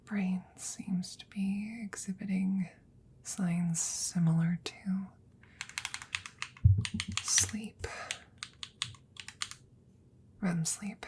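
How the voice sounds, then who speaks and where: A woman playing an anxious, caring scientist in a whispered ASMR audio roleplay, halting and repeating herself nervously. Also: mid-range voice, fairly even intonation, a little mellow, slight background noise. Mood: shaken, anxious. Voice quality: breathy, husky whisper. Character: anxious female scientist tenderly saving her dying best friend.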